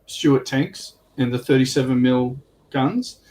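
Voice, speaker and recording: A man chatting casually as he explains tabletop game rules, with halting, hesitant delivery. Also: medium pitch, a little mellow. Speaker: guest on a tabletop wargaming livestream. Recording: clearly noisy background.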